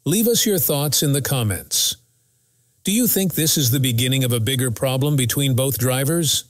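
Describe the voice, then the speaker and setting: A man's voice: medium pitch, expressive, moderate pace, dry recording. Man narrating scripted motorsport recap, dry recording. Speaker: narrator of a motorsport news channel.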